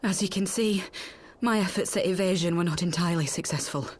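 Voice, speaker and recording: A woman's voice: fairly low pitch, brisk, husky. An actress playing a brave, stoic young woman fighter in a video game, wounded and weakened. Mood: weary, apologetic.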